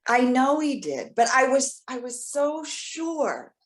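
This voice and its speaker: Give a stubborn old mother for a stage drama, played by a woman. She is lovelorn, resentful and dejected, answering in curt, sullen bursts. Her pitch moves up and down in a lively way. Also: full character voice. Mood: pleading, crushed. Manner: curt, sullen, halting when pleading. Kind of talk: readers theater stage acting.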